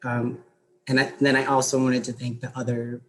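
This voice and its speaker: Young male student, monotone, halting earnest acceptance speech, slight background noise. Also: mid-range voice, rough recording. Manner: hesitant, earnest. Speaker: law student accepting an award.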